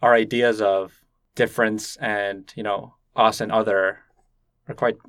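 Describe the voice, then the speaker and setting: A man's voice: fairly low pitch, flat delivery, a little slow, no echo. Curious man interviewing, dry sound. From Canada. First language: Cantonese. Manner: curious, gently probing. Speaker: podcast host and interviewer. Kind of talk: conversational interview.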